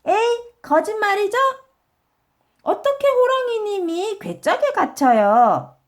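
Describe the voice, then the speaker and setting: A woman puts on a clever little storybook rabbit with a very high, lilting voice. It sounds wide-eyed, playful and full of innocent questions. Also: big pitch swings, takes it slowly, full character voice. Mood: teasing disbelief. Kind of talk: children's storybook character voice.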